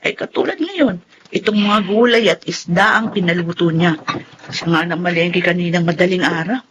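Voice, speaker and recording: A resolute Filipina mother in a radio drama, acted by a woman, earnest and protective. Her voice is low for a woman, bright and forceful. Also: normal speed, highs cut off, slight background noise, close to an everyday voice. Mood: concerned.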